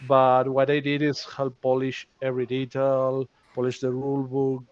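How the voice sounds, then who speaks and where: A man from Argentina with an Argentine Spanish accent, a Spanish native speaker talking modestly and haltingly in English as a guest on a livestream. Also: medium pitch, completely flat delivery, clear, resonant tone, dry recording, dull audio. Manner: halting, modest, searching for words. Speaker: graphic designer guest. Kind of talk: casual livestream chat.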